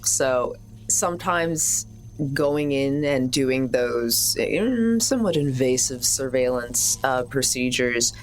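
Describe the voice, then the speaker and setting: Very low-pitched woman, casual tabletop player. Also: lively intonation, slow pace, soft background music. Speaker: player in a tabletop role-playing game. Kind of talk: casual improvised tabletop roleplay chat.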